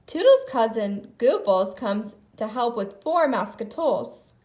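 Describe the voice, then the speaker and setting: A woman narrates a children's storybook with plenty of expression. Her voice is high and her intonation is very lively, shaping each sentence for young listeners. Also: slow. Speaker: storybook reader.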